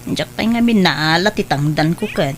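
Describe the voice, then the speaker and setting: A woman playing a kindly, caring mother in an audio drama, gentle and solicitous, with a Filipino accent. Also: lowish voice, expressive, moderate pace. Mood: caring, motherly.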